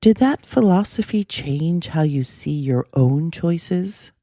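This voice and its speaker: A podcast host speaking in a measured, earnest, reflective way, with scripted narration and gentle interview questions. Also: medium pitch, expressive, no room sound, clean studio sound.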